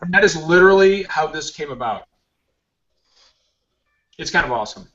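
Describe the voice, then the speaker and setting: A man's voice: on the high side, animated, moderate pace, a bit bright, lo-fi sound. A man hosting a casual podcast, rambling and joking about himself. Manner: rambling, self-mocking, joking. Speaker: podcast host. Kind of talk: casual chat.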